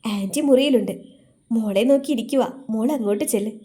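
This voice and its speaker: A woman voicing a steady middle-aged male family friend who speaks in a firm, reassuring way. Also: fairly high pitch, brisk, natural voice. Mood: calm.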